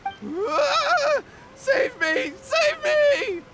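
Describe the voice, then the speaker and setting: An actor playing a nervous, cowardly young villager in a video game, flustered and pleading. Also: male voice, very high-pitched, very animated, drawn-out words, very noisy, full character voice. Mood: terrified.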